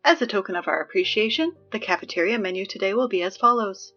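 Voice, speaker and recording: A woman playing an exasperated, sarcastic high school announcer in a scripted comedy audio drama, dryly officious with conversational asides. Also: quick pace, a bit bright, dull audio, faint music behind. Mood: wry, resigned.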